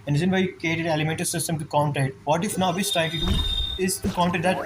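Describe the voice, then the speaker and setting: Higher-voiced man musing over a game. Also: fairly even intonation, fast talker. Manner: rambling, musing aloud. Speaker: gaming streamer. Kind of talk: casual game playthrough commentary, reading dialogue aloud. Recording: game music behind the voice. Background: game music.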